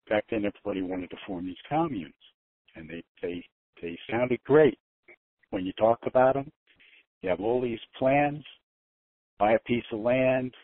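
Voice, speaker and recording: An older man talking in a rambling way on a conference call, halting and often repeating his words as he restarts. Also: medium pitch, no echo. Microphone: phone conference call. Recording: narrow tinny phone line.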